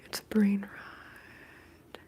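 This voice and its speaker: A soft-spoken woman hosting an ASMR livestream, talking in a breathy, whispery hush and chatting casually with her viewers. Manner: hushed, unhurried casual talk.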